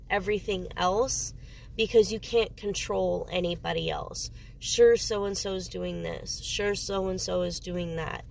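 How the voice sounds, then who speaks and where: A woman's voice: mid-range voice, creaky, slight background noise. A woman doing a casual tarot reading, sniffly with a cold, rambling and often stopping to search for words. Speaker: tarot reader.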